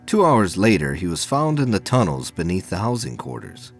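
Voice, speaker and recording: Low-voiced man narrating, expressive pitch. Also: faint music behind. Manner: measured, formal storytelling. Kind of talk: scripted narration of a sci-fi audio drama.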